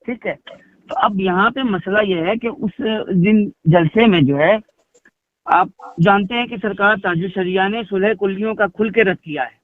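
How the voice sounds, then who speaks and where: High-pitched emphatic man on phone. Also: moderate pace. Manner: emphatic, repeats phrases, rhetorical questions. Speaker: religious speaker. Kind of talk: phone conversation, explaining and declaring at length. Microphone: phone call. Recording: muffled narrowband phone call recording.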